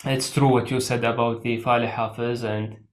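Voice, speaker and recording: A man hosting an interview, speaking in a measured, hesitant way with frequent fillers. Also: mid-range voice, little pitch movement, echoey room. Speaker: interview host. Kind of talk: interview questions.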